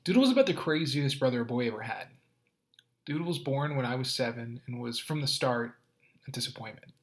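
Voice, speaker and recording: A male teacher reads a short story aloud for his students. His mid-pitched voice swells and softens with the text, giving the narration plenty of feeling. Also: a little fast. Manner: expressive, rising and falling in loudness.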